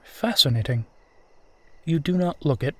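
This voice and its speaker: A man playing a suave, centuries-old vampire in a roleplay audio drama, speaking formally, with old-fashioned courtesy and gentle teasing. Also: on the high side, lively intonation, measured pace, dry recording, heavily acted. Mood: smooth, amused. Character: suave, courtly centuries-old male vampire who looks twenty-eight.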